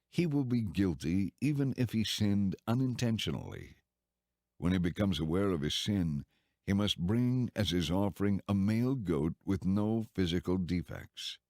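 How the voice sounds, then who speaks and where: A man narrating scripture from a script in a solemn, measured, formal way. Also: low-pitched, darkish tone, no echo, studio quality. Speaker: audiobook narrator.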